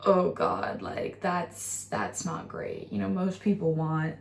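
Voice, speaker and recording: Young adult female, American accent, slightly low register; casual student-vlogger delivery. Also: sing-song, slow pace, vocal fry. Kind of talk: casual vlog chat with voice-over recaps.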